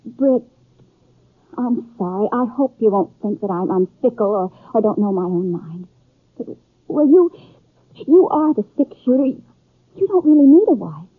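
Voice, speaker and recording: An American actress playing an earnest, flustered young frontier bride-to-be in a 1950s radio western, with a hesitant, emotional delivery. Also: female voice, fairly high pitch, animated, moderate pace, slightly rough, no echo. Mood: earnest, apologetic. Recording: dry, narrow-band 1950s radio recording.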